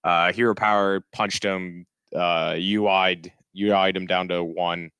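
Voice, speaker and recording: A man talking over voice chat about a game he just played, self-deprecating and halting as he retraces his mistakes. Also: low voice, fairly even intonation, sharp tone, slight background noise. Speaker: guest player. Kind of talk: casual post-match chat. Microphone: voice chat mic.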